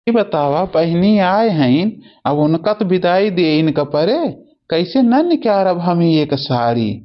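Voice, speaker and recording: A man's voice: high voice, big pitch swings, slow pace, full character voice. A man voicing a stubborn, put-upon village husband in a comic cartoon, pleading with an Awadhi accent on a dull recording. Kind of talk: homemade comic cartoon dialogue. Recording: dull, lo-fi sound.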